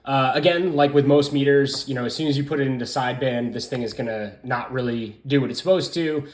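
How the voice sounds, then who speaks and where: A man's voice: medium pitch, fairly quick. A man giving a casual hands-on product review, explaining things in an informal, rambling way as a hobbyist. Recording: roomy, echoing room sound.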